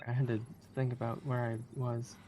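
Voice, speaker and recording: A speedrunner giving casual live commentary in a halting, hesitant way, sometimes giggly. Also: mid-range voice, flat delivery. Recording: clearly noisy stream audio.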